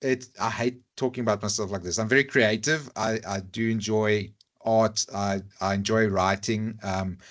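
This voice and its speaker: A man with an Afrikaans accent chats candidly on a podcast, running himself down with a laugh. His voice sits on the lower side, and he circles his points with hesitant restarts. He talks into a clip-on lapel mic. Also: fairly even intonation, normal speed. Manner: candid, self-deprecating, hesitant. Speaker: podcast guest, rugby YouTuber. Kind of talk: casual podcast chat. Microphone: lavalier mic.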